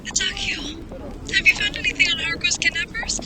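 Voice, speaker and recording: A woman playing a frightened, pleading mother in an audio drama, speaking in a hushed, worried way. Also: extremely high pitch, a little fast. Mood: anxious, pleading. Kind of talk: fan audio drama phone call. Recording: very noisy amateur recording with clicks.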